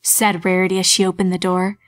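A woman's voice: lowish voice, flat delivery, a little fast, no echo. A woman reading a horror story aloud as a scripted, dramatic narration. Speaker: fan fiction reader and narrator. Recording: music bed under the voice. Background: background music.

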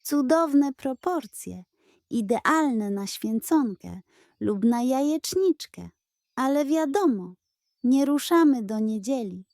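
A pompous little storybook pug, acted by a woman. He speaks high and slowly, drawing out grand ceremonial praise with a sly, greedy streak. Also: lively intonation, darkish tone, no room sound, studio quality, heavily acted. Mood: solemn, flattering.

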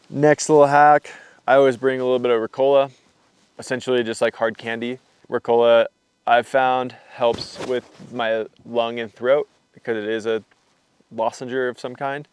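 A man's voice: medium pitch, moderate pace, smooth, no room sound. A young man casually walking through his hiking gear on camera, rambling and loose, with lots of fillers. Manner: rambling, filler-heavy, relaxed explaining. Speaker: hiking vlogger. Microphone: camera mic.

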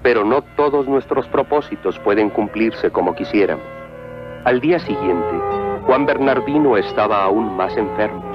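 A man's voice: fairly low pitch, expressive, normal speed, over music, lightly acted. A man playing a grave, sympathetic narrator, reading scripted storybook narration for a cartoon. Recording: muffled old cartoon soundtrack.

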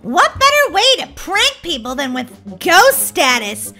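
A mischievous, excitable little girl ghost, acted by a woman in a children's cartoon skit. The voice is very high and bright, whiny and dramatic, and swoops widely into spooky drawn-out words. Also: faint music behind, full character voice.

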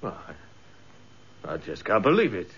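A man's voice: lowish voice, wildly swooping pitch, normal speed, a bit bright, husky, exaggerated voice. A man playing a tough, protective army instructor in a radio drama, blunt and forthright. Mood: bewildered. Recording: dull lo-fi radio drama recording.

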